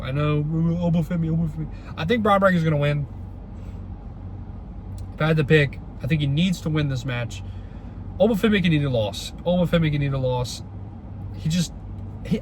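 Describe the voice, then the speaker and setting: A young man with a cold and a congested voice, giving casual wrestling opinions in an excitable vlog, repeating his questions and using many fillers. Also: a little high, a little hiss or hum. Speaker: wrestling vlogger.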